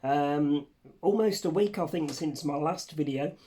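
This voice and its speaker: A man talks to camera in a raised, croaky voice, hoarse and worn from a cold. He chats in a loose, rambling way and swears casually. He is recorded indoors at home under a ring light. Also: fairly high pitch, a little slow, mellow. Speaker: channel host. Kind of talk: casual vlog chat. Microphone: facing camera under a ring light.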